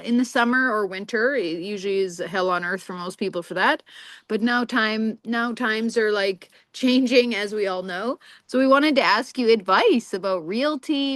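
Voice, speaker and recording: A woman's voice: mid-range voice, a bit bright, dry recording. Bubbly chatty woman interviewer. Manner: bubbly, chatty, laughs often. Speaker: magazine interviewer. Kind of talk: casual interview chat.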